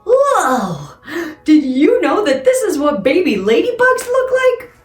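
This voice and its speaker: A woman's voice: very high-pitched, wildly swooping pitch, slow pace. A woman presenting playful science lessons and read-alouds for children in an exaggerated, questioning, exclamatory way. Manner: exaggerated, exclamatory, asks questions of young listeners. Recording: roomy echo with music underneath. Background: music.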